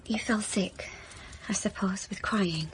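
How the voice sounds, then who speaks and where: A woman's voice: mid-range voice, slow pace, a little hiss or hum, lightly acted, low-quality recording. An actress playing a servant woman in a Victorian radio drama, speaking in short, simple phrases. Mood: hushed concern. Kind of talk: period radio drama acting.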